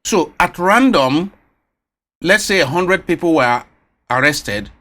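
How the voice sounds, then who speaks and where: A Nigerian man hosting a studio interview, asking probing questions in a measured way. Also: on the high side, lively intonation, a bit bright. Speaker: interviewer, host.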